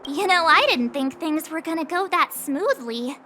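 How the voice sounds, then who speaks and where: A woman's voice: high voice, animated, normal speed, pushed and bright, soft background music. A woman voicing a perky, curious young girl adventurer in a video game, bubbly, casual and outspoken. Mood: eager, curious.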